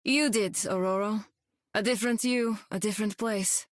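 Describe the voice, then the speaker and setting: An actress playing a young, sardonic demon queen and war captain, deadpan and dry, in a video game. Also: mid-range voice, moderate pace, no room sound, lightly acted. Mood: cool, deadpan. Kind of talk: video game character acting.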